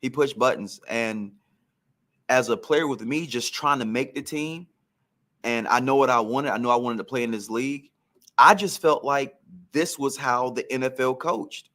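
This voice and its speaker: A man's voice: medium pitch, moderate pace, pushed and bright. A man, a former pro football receiver, telling career stories on a podcast in a reflective, emphatic way.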